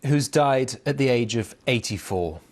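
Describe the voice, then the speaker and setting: A man working as a news presenter, speaking at a middling pitch. His delivery is that of a broadcast interviewer, moving between scripted introductions and questions. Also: animated, measured pace.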